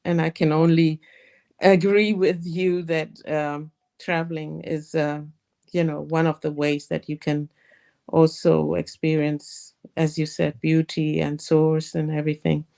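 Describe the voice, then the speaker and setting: Woman, low voice, flat, slow, grateful caller. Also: vocal fry. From Cuba. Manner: grateful, halting with fillers. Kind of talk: call-in thanks and chat.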